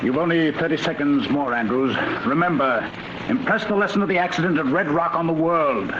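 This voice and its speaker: A man's voice: on the high side, a little fast, natural voice. A man playing an urgent, commanding military colonel with clipped delivery in an old radio drama. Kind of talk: 1940s-50s American radio drama acting. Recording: muffled, noisy vintage radio recording.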